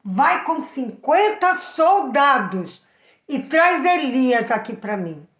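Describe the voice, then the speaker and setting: Woman playing a demanding king, slow and emphatic. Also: sits high, very animated, fairly bright tone, exaggerated voice. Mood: commanding. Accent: Brazilian. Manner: commanding, emphatic. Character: demanding wicked king issuing orders. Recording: echoey room.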